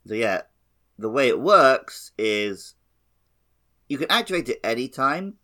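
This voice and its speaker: A man's voice: mid-range voice, sing-song, measured pace, pushed and bright. A man co-hosting a podcast, talking casually and explaining parts of a video game. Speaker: podcast co-host.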